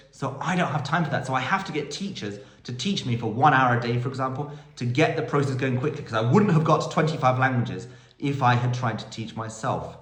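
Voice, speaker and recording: A man's voice: medium pitch, fairly bright tone. A man in his thirties talking about learning languages in a casual, enthusiastic Q&A, wandering off on tangents. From United Kingdom. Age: 30s. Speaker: language school owner and polyglot vlogger. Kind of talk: casual Q&A monologue. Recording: echoey room.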